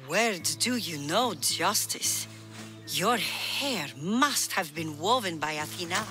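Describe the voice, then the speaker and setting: An actress playing a flirtatious, flattering woman of ancient Greece in a video game, with music behind. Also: fairly low pitch, slow pace. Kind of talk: video game cutscene acting.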